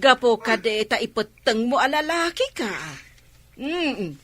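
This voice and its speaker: A woman's voice: fairly high pitch, animated, a little slow, a bit bright. A woman with an Ilocano Filipino accent playing a firm, admonishing mother of a grown child in a radio drama.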